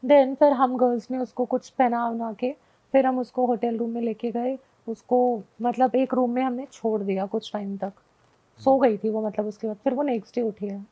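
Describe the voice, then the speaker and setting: A woman's voice: fairly high pitch, fairly even intonation, moderate pace. A young woman telling a personal story casually as a guest, mixing English words into her talk. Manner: mixes Hindi with English words. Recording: dull lo-fi recording.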